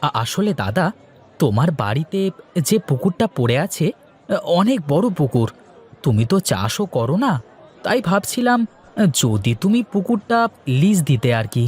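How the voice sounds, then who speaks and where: A man's voice: fairly high pitch, big pitch swings, moderate pace, pauses often, darkish tone. A man voicing an earnest, deferential young village man in a moral-story cartoon, speaking in a respectful, hesitant, pleading manner. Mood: hesitant, hopeful.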